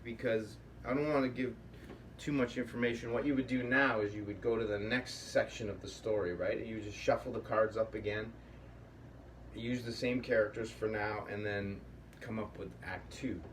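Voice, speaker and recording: A man hosting a workshop in a casual, improvised way, rambling as he thinks aloud. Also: lowish voice. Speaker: puppetry workshop host. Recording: distant mic with faint room noise.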